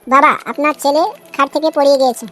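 A woman's voice: high-pitched, steady tone, fast, fairly bright tone. A woman voicing a nagging, melodramatic young village wife in a comedy cartoon. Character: young village wife, dramatic, wailing, scheming, affectionate. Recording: music behind the voice.

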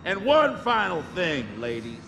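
An actor playing a gruff, bullying military drill instructor who barks insults and commands in a video game. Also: male voice, high voice, wildly swooping pitch, measured pace, very noisy, full character voice. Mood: threatening. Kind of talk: video game cutscene acting.

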